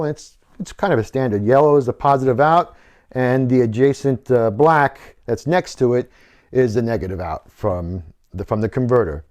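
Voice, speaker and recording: A man's voice: mid-range voice, darkish tone. A man presenting a hobby how-to in a practical, conversational way, explaining things informally as he goes.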